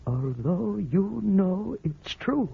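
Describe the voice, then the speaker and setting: An actor playing a fragile, despairing husband in a 1940s radio drama, in a strained, halting, theatrical voice. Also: male voice, sits high, big pitch swings, vocal fry, husky, full character voice. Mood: resigned despair. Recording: tinny, narrow vintage radio recording.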